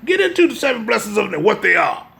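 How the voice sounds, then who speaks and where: A man with a gravelly voice giving an informal religious lecture, part reading and part commentary, emphatic and repeating his phrases to press the point. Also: high voice, very animated, fast talker, sharp tone. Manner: emphatic, repeats phrases, checks listener's understanding. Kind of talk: informal religious lecture mixing read-aloud passages and commentary.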